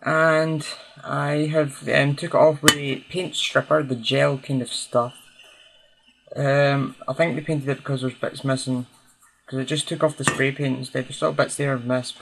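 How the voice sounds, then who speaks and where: A man's voice: medium pitch, creaky. A man giving casual, unscripted hands-on commentary as he tinkers, hesitantly thinking aloud. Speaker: hobbyist restorer hosting a video. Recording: noisy home recording with clicks.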